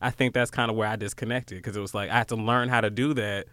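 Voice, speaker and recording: Smooth, reflective young American man. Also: on the low side, fairly even intonation, quick pace, fairly bright tone, no room sound. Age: late 20s. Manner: informal, reflective, acts out quoted remarks. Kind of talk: casual conversational talk.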